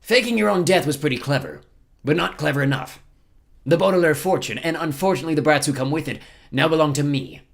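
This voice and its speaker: Man putting on a sneering villainous sea captain, lively. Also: a little high, quick pace, vocal fry, pitched above the performer's own voice. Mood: gloating. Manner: mocking, theatrical. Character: menacing one-eyed male sea captain villain, sly, sneering.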